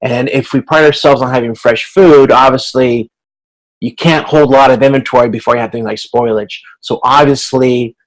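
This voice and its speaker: Male instructor, mid-pitched voice, conversational online lecture delivery, informal and explanatory. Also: poor audio. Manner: informal, explanatory, checks understanding. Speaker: instructor leading an online class. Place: online video conference.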